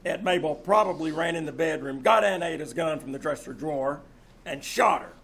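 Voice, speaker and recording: An actor playing a smug, scheming nephew in a live old-time radio mystery, supercilious and insinuating. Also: male voice, a little high, a little fast, a bit bright. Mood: accusing. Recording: noisy live theater stage recording.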